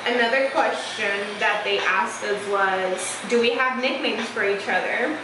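A woman's voice: fairly high pitch, a little slow, distant mic. A woman from California, chatting and laughing often while doing her makeup on camera as host of a beauty channel. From Fresno, California. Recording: echoey room with steady noise, a bit off-mic.

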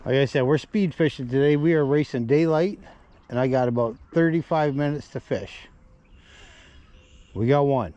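Guy chatting casually while fishing outside, soft mellow voice, middling pitch. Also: moderate pace, long pauses, no room sound, muffled recording. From Canada. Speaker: fishing vlogger. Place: outdoors at a small pond bank. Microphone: body-worn action camera.

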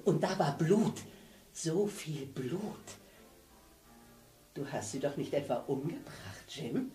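Panicked young woman, slow then screaming, roomy distant sound, music under. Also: sits low, expressive, dark tone. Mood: fearful.

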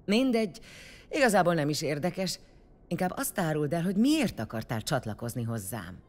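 A woman's voice: low voice, very animated, a little mellow. A woman playing a blunt, commanding warrior leader in an audio drama, vengeful and foul-mouthed. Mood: probing, scornful.

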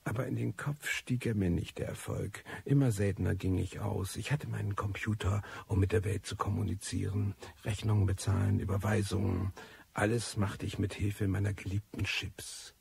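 Husky, cynical male recluse. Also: on the low side, level tone, normal speed, a little mellow, exaggerated voice. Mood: wry, bitter. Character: disillusioned, cynical male recluse telling his life story. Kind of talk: radio drama first-person monologue.

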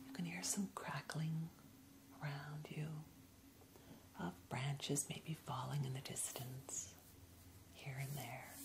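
A woman leads a guided meditation in a breathy ASMR whisper. Her delivery is slow, gentle and soothing, kept low in pitch. There is clear noise behind her voice. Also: level tone. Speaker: ASMR host guiding a meditation. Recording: clear background noise, ambient hiss.

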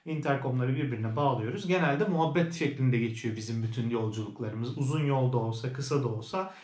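A man's voice: mid-range voice, fairly quick, darkish tone. A man giving a casual, conversational product review as a vlogger, explaining things as he goes. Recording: roomy indoor echo.

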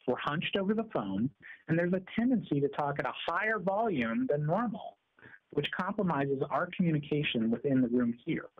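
A man's voice: a little high, animated, moderate pace. A middle-aged man giving a scripted demonstration, heard through a speakerphone in a meeting room. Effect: speakerphone sound. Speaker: presenter. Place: small meeting room, indoors. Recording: muffled, lo-fi speakerphone pickup.

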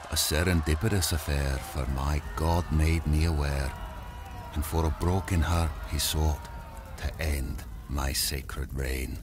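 A man playing a very deep, brooding mad scientist. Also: a little hiss or hum. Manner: solemn, theatrical, measured verse delivery. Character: tormented, brooding male mad scientist confessing his blasphemy. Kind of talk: dramatic rhyming monologue, gothic horror audio drama.

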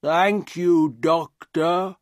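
A painfully slow, gentle deformed man. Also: on the high side, fairly even intonation, dry recording, studio quality, voice pushed up. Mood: sad, resigned. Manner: very slow, halting, laboured speech. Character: gentle, sad, deformed young man, humble and grateful. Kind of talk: audiobook character dialogue.

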